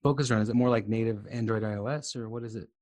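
An interviewer asking curious, relaxed questions with quiet short acknowledgments. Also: medium pitch, fast talker. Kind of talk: casual interview.

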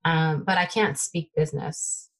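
A young adult woman from the Bay Area, whose first language is Taiwanese, chatting excitedly as a guest developer in an interview. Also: low-pitched, a little slow, low-quality recording. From United States (Bay Area). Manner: excitable, filler-heavy, trailing off. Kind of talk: casual tech interview chat.

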